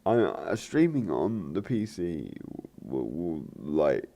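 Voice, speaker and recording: A man with a croaky, gravelly voice chats loosely over his game footage, stopping and restarting his sentences. His voice is low and dark, and it rises and falls a lot as he rambles. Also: sing-song, takes it slowly. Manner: hesitant, many fillers and restarts. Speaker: gaming channel host. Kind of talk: rambling let's play commentary.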